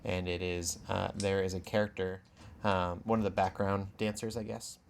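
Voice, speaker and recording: A man with a very low voice chats casually as a podcast co-host, with plenty of gravelly fry. His delivery is informal and halting, as if thinking aloud, over faint background noise. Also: flat delivery. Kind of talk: casual chat.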